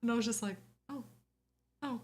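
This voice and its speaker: A woman chatting as a guest, telling a lively personal story and acting out what people said. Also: on the high side, normal speed, noisy background. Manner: animated, acts out quotes. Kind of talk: casual storytelling.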